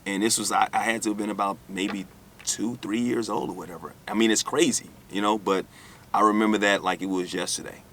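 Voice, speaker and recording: A low-voiced man from the United States shares personal memories as an interview guest. His delivery is candid and conversational, and he often repeats phrases as he goes. There is faint noise behind him. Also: fairly even intonation, a little fast, a bit bright. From United States, born in New York, raised in Alabama.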